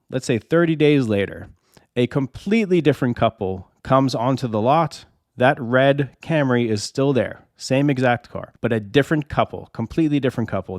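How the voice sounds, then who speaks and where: A man's voice: medium pitch, dry recording, studio quality. Under-the-weather man explaining casually. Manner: chatty, repeats himself, builds a long hypothetical with rhetorical questions. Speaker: reseller channel host.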